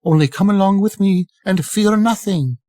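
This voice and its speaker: A man's voice: high-pitched, moderate pace, dark tone, dry recording, clean studio sound, voice pushed up, natural voice. An older man voicing a clever, bold young slave woman in a storybook, coaxing and secretive with a pleading manner. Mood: coaxing, firm. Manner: sighing, pleading storybook speech.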